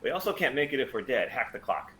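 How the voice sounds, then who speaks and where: A man reading a gruff, cynical smuggler captain in a sci-fi table read, with faint room noise behind him. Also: medium pitch, very animated, fast, sharp tone. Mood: tense, commanding.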